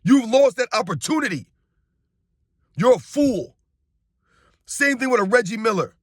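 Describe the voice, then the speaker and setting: Hoarse guy ranting to his viewers, kinda high voice, super dry close sound. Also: expressive, a little slow, no echo. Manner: emphatic, repetitive, addresses viewers as guys. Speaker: sports commentary YouTuber. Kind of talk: casual opinion rant to camera.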